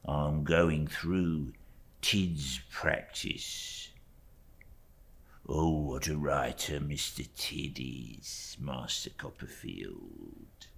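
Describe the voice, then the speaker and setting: A man voicing an oily, falsely humble young law clerk in an audiobook, with slow, obsequious phrases that sink into a hush. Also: a bass voice, creaky, voice pushed down, full character voice. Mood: unctuous. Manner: slow, obsequious, drawn-out, hushed phrase endings. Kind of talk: audiobook character acting.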